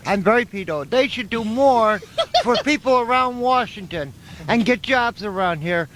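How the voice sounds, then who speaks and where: A man's voice: high voice, lively intonation, normal speed, a bit bright. An earnest, plain-spoken man giving an impromptu interview outdoors on the street. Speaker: homeless passer-by being interviewed. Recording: noisy street remote.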